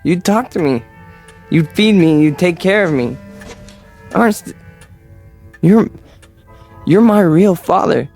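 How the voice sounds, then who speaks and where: Needy young man, higher-pitched, pleading. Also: expressive, a little mellow, faint music behind, exaggerated voice. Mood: pleading, desperate. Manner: pleading, halting, emotional. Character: needy, insecure young man hungry for approval. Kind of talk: vintage-style radio drama acting.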